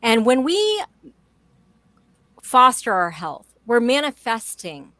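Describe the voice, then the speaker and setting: A woman's voice: fairly high pitch, huge pitch swings, takes it slowly, sharp tone, dry recording. A woman in her forties speaking as a guest in an interview, emphatic and enthusiastic in a motivational way. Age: 40s. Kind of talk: conversational interview.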